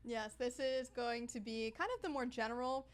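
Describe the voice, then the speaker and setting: A woman's voice: on the high side, fairly even intonation, moderate pace. Upbeat woman presenting game updates. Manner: upbeat, explanatory, many fillers. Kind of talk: livestream presentation.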